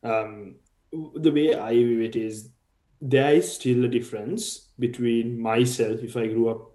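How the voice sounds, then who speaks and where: A man's voice: mid-range voice, fairly even intonation, a little slow, warm tone, echoey room, low-quality recording. A man from Sri Lanka, a podcast guest, talking through ideas thoughtfully and hedging often with "sort of". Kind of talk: casual discussion.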